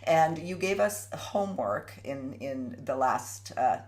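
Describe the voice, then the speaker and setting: Low-voiced enthusiastic woman co-host. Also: animated, slow, a little mellow. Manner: enthusiastic, stumbling restarts. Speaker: co-host, interviewer. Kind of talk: casual chat.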